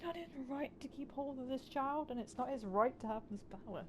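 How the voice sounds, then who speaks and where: A woman's voice: high-pitched, fairly quick, a little mellow, a little hiss or hum. A woman reacting casually to a game as she plays, blunt, sweary and sarcastic. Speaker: gaming video host. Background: game music.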